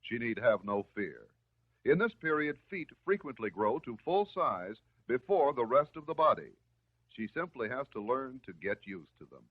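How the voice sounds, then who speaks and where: An American man delivering scripted narration in the style of a 1950s educational film, measured, instructive and reassuring. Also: mid-range voice, very animated, husky. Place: voice-over recorded for film. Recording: muffled, narrow-band 1950s film soundtrack.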